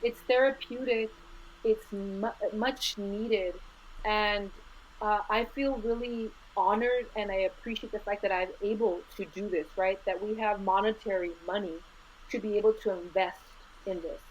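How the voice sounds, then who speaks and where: A woman's voice: mid-range voice, fairly even intonation, slight background noise. Earnest Chicana woman, slightly dull audio. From Los Angeles, US. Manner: earnest, measured, mixes in Spanish words. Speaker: guest, school administrator. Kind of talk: conversational interview.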